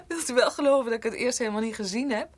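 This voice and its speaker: An actress playing a gentle young woman in a Dutch radio drama, speaking earnestly and emotionally, often breathy. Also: a little high, lively intonation, brisk. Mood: delighted, excited. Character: gentle young woman, devoted niece of a doctor.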